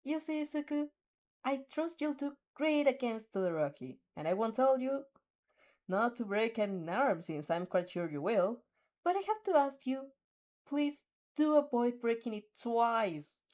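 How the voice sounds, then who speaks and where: A woman voicing a calm, gently teasing teenage heroine in a fanfic audiobook. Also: on the high side, a little slow, pitched above the performer's own voice.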